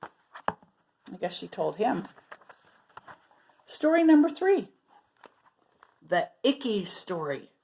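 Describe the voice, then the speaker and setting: Animated woman reading stories to children. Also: medium pitch, very slow, vocal fry, pauses often, slight background noise. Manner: expressive, asks listeners questions. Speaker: children's storyteller. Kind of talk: animated read-aloud storytelling with asides to children.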